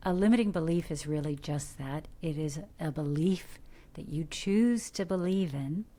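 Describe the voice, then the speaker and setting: A middle-aged American woman from Detroit, an actor giving earnest, coaching advice in a podcast interview, animated with rhetorical questions. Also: deep voice, moderate pace. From Detroit, USA. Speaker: guest, actor. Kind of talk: podcast interview, motivational talk.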